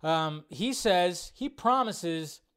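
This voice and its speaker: A middle-aged American man hosting a live show, giving casual, rambling commentary in a blunt, sardonic, sweary way with drawn-out emphasis. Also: high-pitched, expressive, fairly bright tone, clear, resonant tone. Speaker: host of a live movie news show. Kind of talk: casual, rambling livestream commentary on entertainment news.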